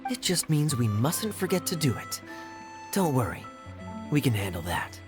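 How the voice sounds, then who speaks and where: A man's voice: fairly high pitch, very animated, moderate pace, music in the background. A man voicing a roguish, nonchalant master thief in a video game, playful and suave. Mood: reassuring. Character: smooth-talking, teasing, roguish male master thief. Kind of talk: video game character dialogue.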